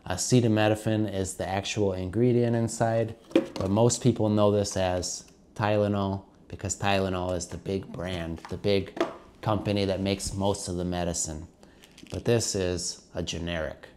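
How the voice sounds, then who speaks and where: A middle-aged man talks in a low, stuffed-up voice while he has a cold. He explains things to learners in a loose, chatty way and keeps calling his viewers guys. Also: fairly even intonation, normal speed, background noise. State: congested with a cold. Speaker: English teacher vlogger. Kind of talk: casual explanatory vlog to learners.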